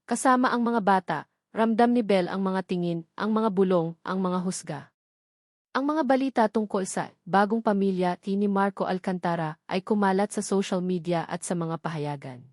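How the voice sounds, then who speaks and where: A woman's voice: mid-range voice, darkish tone, no echo, studio quality. A woman with a Filipino accent reading a scripted, dramatic story as its narrator.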